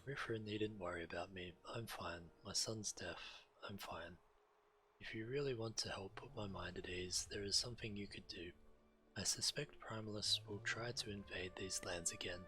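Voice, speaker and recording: A man's voice: fairly low pitch, fairly even intonation, moderate pace, a little hiss or hum. A man streaming a video game and reading its quest text aloud. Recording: loud game music behind. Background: video game music.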